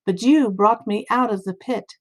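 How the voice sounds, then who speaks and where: A woman's voice: medium pitch, moderate pace, natural voice. Woman voicing Jonah, a fervent, solemn prophet. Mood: fervent, distressed. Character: Jonah, earnest, distressed male prophet praying to God.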